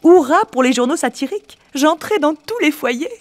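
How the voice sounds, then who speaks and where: A woman's voice: high voice, animated, normal speed, no echo. A woman voicing caricature personified as a playful, boastful female art form, narrating in character with a teasing manner. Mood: proud. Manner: mischievous, teasing, laughs at her own exaggerations. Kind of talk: scripted documentary narration in character.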